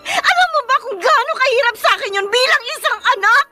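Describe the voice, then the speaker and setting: Fed-up anguished filipino daughter in a radio drama, super high voice, really swingy and emotional, music under it. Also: female voice, lively intonation, fast talker, sharp tone, full character voice. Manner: emotional, melodramatic, tearful outbursts. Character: anguished, fed-up grown daughter of a drunkard father.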